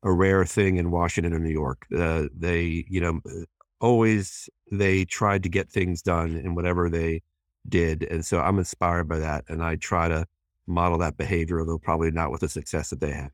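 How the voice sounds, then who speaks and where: A man's voice: low-pitched, level tone, normal speed, dry recording, clean studio sound. A man in his sixties, a guest on a podcast, giving thoughtful conversational answers with frequent fillers and self-corrections. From born in Hong Kong, raised in US. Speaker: guest, president of a human rights group.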